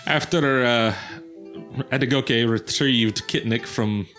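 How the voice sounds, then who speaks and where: A man's voice: mid-range voice, takes it slowly, fairly bright tone, soft background music. A man recapping a tabletop adventure in character, with formal, old-fashioned phrasing and hesitations. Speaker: player recapping the story.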